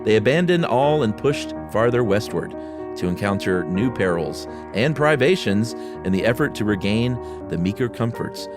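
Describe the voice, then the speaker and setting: A man's voice: on the low side, expressive, moderate pace, music in the background. A man hosting a podcast, moving between casual chat and dramatic reading of a spooky story. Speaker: podcast host.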